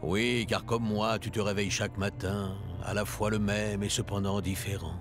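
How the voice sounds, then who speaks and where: A man's voice: lowish voice, flat delivery, normal speed, lightly acted. A man playing a benevolent, solemn ancient Egyptian god in a cartoon, speaking in a stately, formal way. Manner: stately, formal, old-fashioned wording. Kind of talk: French cartoon dubbing. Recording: music behind the voice.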